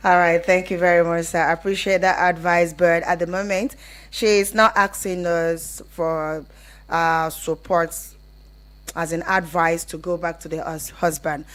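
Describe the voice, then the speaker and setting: A woman's voice: fairly low pitch, a bit bright. A woman with a Nigerian accent presenting a live radio advice call-in show, explaining things conversationally and often rephrasing as she goes.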